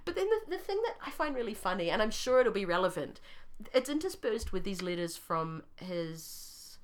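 A woman with a New Zealand accent and a croaky voice, co-hosting a podcast and telling a story in an animated, laughing way. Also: mid-range voice, moderate pace. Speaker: podcast co-host. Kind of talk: casual chat.

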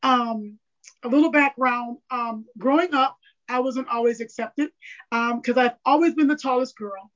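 A woman guest in a casual interview, raspy and candid, rambling openly about herself. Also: fairly high pitch, unhurried. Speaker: podcast guest. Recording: dull lo-fi audio.

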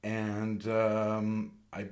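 A man's voice: on the low side, completely flat delivery, extremely slow, darkish tone. A man, a photographer guest, chatting casually and self-deprecatingly in an interview. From Germany and Austria.

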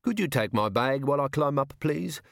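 A man's voice: medium pitch, animated, normal speed, creaky, no room sound, clean studio sound, pitched above the performer's own voice. A man voicing a careful little criminal in an audiobook, with a neat small voice and a precise, polite manner. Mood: primly assured.

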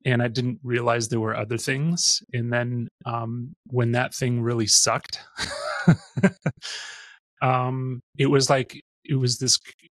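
A man's voice: medium pitch, level tone, slow pace, dry recording. A man in his forties, raised in Missouri, chatting as a podcast guest in a rambling, self-deprecating way. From born in Austria, raised in Missouri. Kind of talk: casual interview chat.

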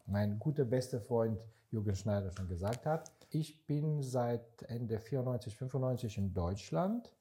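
Earnest man from Israel, halting German. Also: medium pitch, expressive, mellow. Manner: searching for words, non-native grammar, earnest and emphatic. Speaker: podcast co-host, investor. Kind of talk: casual podcast conversation.

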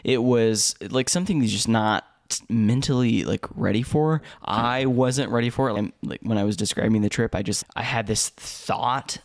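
A man's voice: mid-range voice, moderate pace, creaky, few pauses, no room sound. A young American man co-hosting a podcast, telling stories casually with lots of fillers and laughs. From United States. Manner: storytelling, chuckling often, many fillers and restarts. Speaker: podcast co-host. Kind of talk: casual chat.

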